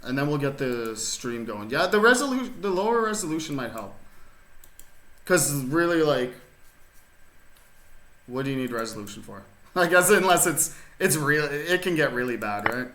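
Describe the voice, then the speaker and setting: A man's voice: a little high, big pitch swings, normal speed, long pauses, a bit bright. A man chatting casually with viewers while streaming a chess game from his rural desert home, rambling and laid-back, full of fillers and restarts. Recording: roomy echo.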